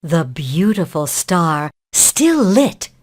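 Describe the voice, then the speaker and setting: A woman reading a children's story aloud in a measured, expressive narrating style. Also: lowish voice.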